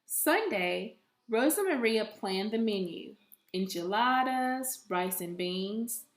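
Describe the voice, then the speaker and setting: A woman reading a children's storybook aloud with deliberate, expressive phrasing, like a teacher with a young class. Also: medium pitch. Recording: echoey room.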